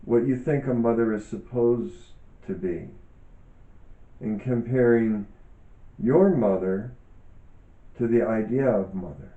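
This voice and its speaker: A man reading reflective self-help teaching aloud in a deliberate, measured way, pausing often between short phrases. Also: lowish voice. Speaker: author and yoga teacher reading from own book. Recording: dull, echoey room recording.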